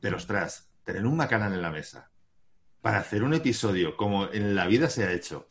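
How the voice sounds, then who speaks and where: A man's voice: fairly low pitch, lively intonation, a little fast. A man from Spain, a podcast co-host, talking casually and self-deprecatingly, often laughing. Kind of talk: casual group chat. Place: remote podcast recording.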